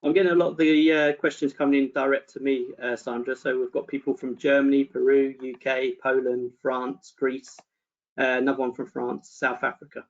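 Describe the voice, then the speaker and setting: A man's voice: mid-range voice, level tone, normal speed. A man hosting and moderating an online webinar in a polite, organised, fairly formal way. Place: online video call. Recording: dull online call audio.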